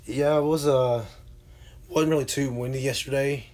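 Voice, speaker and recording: A hoarse man from Alabama, casually chatting with viewers on his livestream and answering their comments, with lots of ums and uhs. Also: medium pitch. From Alabama, USA. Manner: relaxed, drawn-out with many fillers. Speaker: livestream host.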